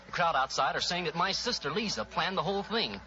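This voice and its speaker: An actor playing an anxious, protective brother pleading for help in a 1940s radio drama. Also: male voice, on the high side, animated, brisk, bright, forceful tone. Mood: frightened, pleading. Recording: muffled old-time radio recording.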